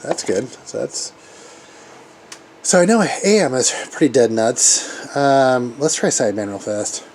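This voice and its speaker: A man's voice: medium pitch, lively intonation, unhurried, background noise. A man giving a casual hands-on tech demo as a hobbyist, talking informally and rambling as he thinks aloud. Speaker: hobbyist radio operator demonstrating equipment.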